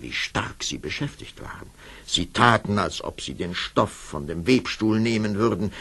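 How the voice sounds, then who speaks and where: A man narrating a scripted fairy tale as an expressive storyteller. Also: deep voice, vocal fry, breathy edge.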